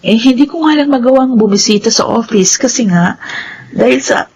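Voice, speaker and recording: Devoted Filipina housewife, dull radio sound. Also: female voice, fairly high pitch, moderate pace, darkish tone, husky. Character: devoted, apologetic housewife and dutiful daughter. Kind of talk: radio drama acting.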